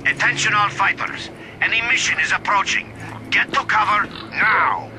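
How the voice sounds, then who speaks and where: A man's voice: high-pitched, normal speed, background noise, close to an everyday voice. An actor playing a practical, watchful male fighter who gives direct instructions in a video game. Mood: urgent warning. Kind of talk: scripted video game dialogue.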